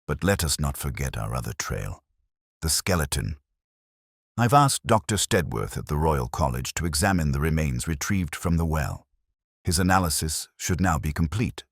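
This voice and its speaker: Keen detective, played by a male narrator, very deep, animated, formal and precise, storybook mystery. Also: normal speed, breathy edge, no echo, clean studio sound, exaggerated voice. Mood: triumphant, resolute.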